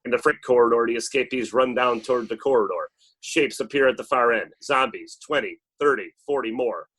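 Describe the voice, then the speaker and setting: A man with a mid-pitched voice narrates a screenplay. He reads scripted action lines aloud in the loose setting of a table read. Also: fairly quick, fairly bright tone, slightly rough, low-quality recording. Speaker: narrator reading stage directions.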